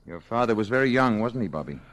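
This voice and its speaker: An actor playing a calm, gentle alien visitor passing as a mild-mannered man, speaking with measured courtesy in a radio drama. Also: lowish voice, rough recording. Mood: polite, gentle. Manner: measured, courteous, softly curious.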